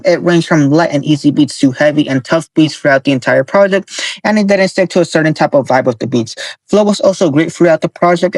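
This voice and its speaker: Man, hoarse tired voice, slightly higher pitch, casual album review, dry close sound. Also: no room sound, rough recording. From Nevada, US. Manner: reads quick notes, corrects himself, chuckles at slips. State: hoarse, worn voice.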